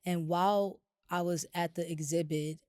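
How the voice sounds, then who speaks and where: A woman with a slightly low, cold-roughened raspy voice talks casually on a podcast. She meanders through her thoughts with plenty of fillers. The sound is close and dry. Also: moderate pace, no echo. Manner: relaxed, rambling with many fillers. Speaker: podcast co-host. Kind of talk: casual chat.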